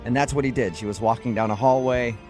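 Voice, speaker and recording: A male radio host talks in a casual, teasing way at a medium pitch. He is sarcastic and conversational, with loud music playing behind him. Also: fairly even intonation, quick pace. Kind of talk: casual morning radio banter.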